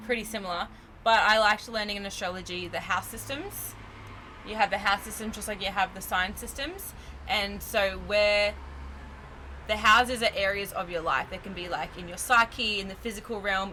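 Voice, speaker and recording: A young woman with a bright, crisp tone explains her ideas in a relaxed, chatty way. She laughs easily and leans on "like" and "you know". Her voice sits mid-range, with faint noise behind it. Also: mid-range voice, low-quality recording. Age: 20s. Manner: laid-back, giggly, explains with lots of "like" and "you know". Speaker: numerology guest. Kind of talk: casual explanatory chat.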